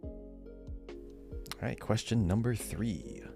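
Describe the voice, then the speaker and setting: A man hosting a casual quiz podcast, reading out questions deliberately and repeating them. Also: fairly low pitch, gravelly, music in the background.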